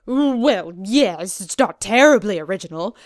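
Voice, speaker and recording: Woman doing a male screen villain, pompous, touchy, very slow speech. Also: mid-range voice, lively intonation, no echo, clean studio sound, close to an everyday voice. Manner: slow, drawn-out, self-important delivery.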